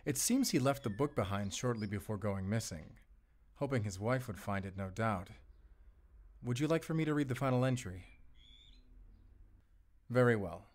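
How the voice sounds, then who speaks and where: A low-pitched male wolf hunter, played by an actor in a cinematic audio roleplay. He is methodical and grim, with dry wit. His delivery is measured and formal, addressed straight to the listener. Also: animated, close to an everyday voice. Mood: grim, businesslike. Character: male wolf hunter agent, calm, methodical, grimly wry.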